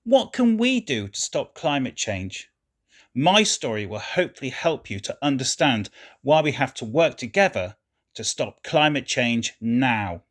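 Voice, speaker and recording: A man reading a children's story aloud in an expressive, deliberate way, as scripted narration. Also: mid-range voice.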